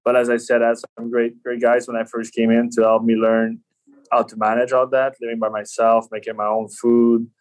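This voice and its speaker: A man's voice: mid-range voice, completely flat delivery, normal speed, poor audio. A man in his twenties from Quebec with a French Canadian accent, a native French speaker, chatting casually as an interview guest. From Quebec City, Canada. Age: 20s. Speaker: podcast guest, professional hockey player.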